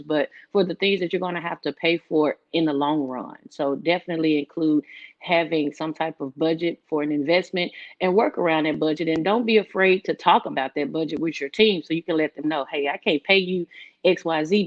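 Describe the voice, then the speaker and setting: A woman's voice: deep voice, dull audio. A woman from Memphis speaking as a panelist, giving earnest, encouraging advice in a conversational way. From Memphis, USA. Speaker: panelist, record label manager.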